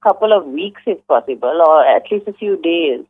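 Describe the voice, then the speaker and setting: A woman's voice: low-pitched, expressive, moderate pace, breathy edge. A woman, explaining casually in a matter-of-fact, instructive way on a phone call. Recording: tinny phone line.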